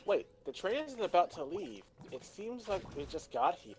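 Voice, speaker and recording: A woman's voice: on the low side, big pitch swings, moderate pace, a little mellow. A woman playing a flustered, indignant young woman in an amateur live story reading. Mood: confused. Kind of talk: amateur character reading of fan fiction. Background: background music.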